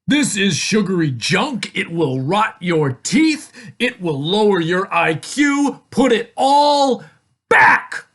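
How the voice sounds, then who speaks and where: A woman storyteller voicing an exasperated father who scolds in loud, emphatic, drawn-out words. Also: low-pitched, fairly even intonation, husky. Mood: dismayed scolding.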